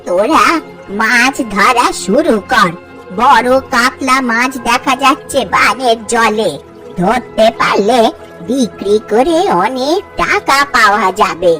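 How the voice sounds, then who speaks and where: A woman's voice: on the high side, expressive, slow, a bit bright, soft background music. A woman playing a shrewd older village aunt in a cartoon fairy tale, urging and advising.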